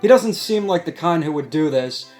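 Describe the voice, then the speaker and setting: Guy hosting a chatty commentary, kinda high voice, music in the background. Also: animated, normal speed, a little mellow. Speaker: host. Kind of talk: casual discussion commentary. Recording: music playing behind the voice.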